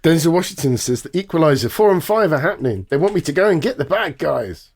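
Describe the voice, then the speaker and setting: A man's voice: on the high side, very animated, fast, a little mellow, husky. A man co-hosting a casual podcast, reading out news items and adding dry, wry asides.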